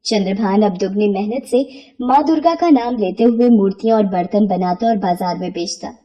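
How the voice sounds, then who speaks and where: A woman narrating a scripted bedtime moral story in an expressive storytelling manner. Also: mid-range voice, moderate pace, muffled recording.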